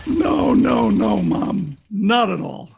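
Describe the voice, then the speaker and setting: A man playing a wisecracking, slangy, tough-talking private detective in 1940s radio drama style, with a gravelly voice. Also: high-pitched, big pitch swings, slow pace, a little mellow, slight background noise, exaggerated voice. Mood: reassuring. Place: performer's home, recorded remotely. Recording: home video-call recording with music behind.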